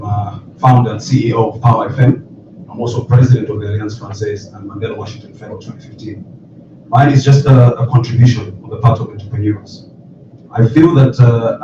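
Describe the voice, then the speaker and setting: A man's voice: mid-range voice, muffled recording, background noise. A man, an entrepreneur, earnestly making a comment from the floor of a panel discussion. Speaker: entrepreneur, radio station founder. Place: conference hall. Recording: very echoey hall, distant mic.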